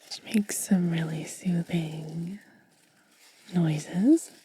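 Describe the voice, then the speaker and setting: A woman whispering softly and gently in an unhurried ASMR style, close to the microphone, pausing between short phrases. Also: on the low side, steady tone, a little hiss or hum. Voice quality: breathy, mostly unvoiced whisper. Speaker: ASMR host. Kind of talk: ASMR unboxing, hushed and soft-spoken.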